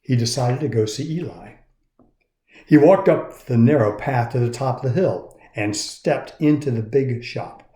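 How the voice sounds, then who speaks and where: A man in his sixties reading a children's story aloud in an expressive storytelling style. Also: medium pitch, unhurried. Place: indoors in a woodworking shop. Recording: roomy, echoey sound.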